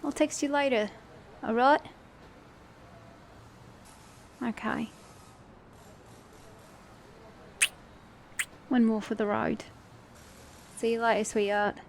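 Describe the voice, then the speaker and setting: A woman's voice: fairly high pitch, normal speed, vocal fry, slight background noise. A woman with a mixed Australian and British accent playing a flirty, sassy, teasing car mechanic in an ASMR romance roleplay. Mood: teasing, affectionate. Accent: Australian-British mix. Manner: flirty, teasing, playful, with soft chuckles.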